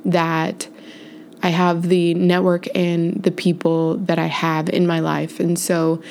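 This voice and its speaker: A woman's voice: on the low side, monotone, takes it slowly, vocal fry, a little mellow, a little hiss or hum. A woman hosting her own podcast, sounding stuffy and congested as she rambles through a chatty, self-correcting personal update early in the morning. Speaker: podcast host. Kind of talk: casual solo life update.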